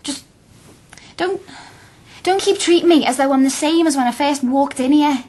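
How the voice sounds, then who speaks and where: A woman's voice: high-pitched, moderate pace, a little mellow, lightly acted. An actress playing a spirited, earnest young working-class woman student with a blunt, impatient, impassioned manner in a radio drama. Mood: earnest, pleading. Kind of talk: naturalistic radio drama acting.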